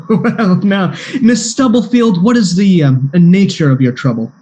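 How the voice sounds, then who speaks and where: An actor playing an eager, bumbling private detective in a comic radio play, with a hesitant, stammering delivery. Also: male voice, sits high, slow pace, dark tone. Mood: eager, flustered.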